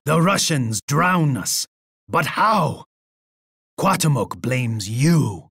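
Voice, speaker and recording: An actor playing a proud, bloodthirsty and unhinged Aztec emperor in a video game, with a husky voice and a pompous manner. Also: male voice, on the high side, no room sound, studio quality, exaggerated voice. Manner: pompous, speaks of himself in third person. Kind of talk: video game character voice lines.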